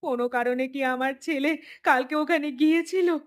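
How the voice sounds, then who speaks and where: A woman's voice: sits high, moderate pace, natural voice. A woman voicing an anxious, pleading village mother in a horror cartoon.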